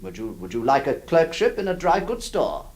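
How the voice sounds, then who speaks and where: An actor playing a troubled, kindly lawyer who narrates and stammers with many hesitations. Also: male voice, fairly high pitch, sing-song, moderate pace, a little mellow. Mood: coaxing. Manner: hesitant, stammering, full of uh's. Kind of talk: old radio drama acting.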